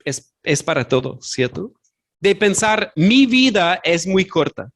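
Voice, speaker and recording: High-voiced pastor preaching, non-native Spanish. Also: male voice, big pitch swings, slow pace, a bit bright, a little hiss or hum. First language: not Spanish. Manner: earnest, searches for words and corrects himself aloud. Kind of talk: sermon. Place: church sanctuary.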